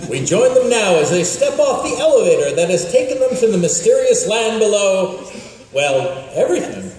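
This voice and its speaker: Man as a high, dramatic serial announcer. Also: very animated, moderate pace, darkish tone, far from the mic, faint music behind. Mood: dramatic, wry. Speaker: narrator. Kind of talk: scripted melodramatic comic radio narration. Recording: very echoey hall from afar, faint noise.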